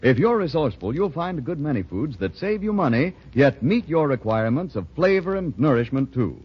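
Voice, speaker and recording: A man's voice: fairly high pitch, big pitch swings, fairly quick, breathy edge. An American man announcing on 1940s radio, reading scripted ads with polished, emphatic salesmanship. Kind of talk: 1940s radio ad read and narration. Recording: dull 1940s radio recording.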